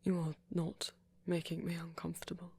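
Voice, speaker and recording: Man playing a whispery, flirty gentle vampire. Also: on the high side, completely flat delivery, moderate pace. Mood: tender, sincere. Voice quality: whispery, very breathy. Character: flirty, gentle, self-possessed male vampire. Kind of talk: intimate ASMR audio roleplay.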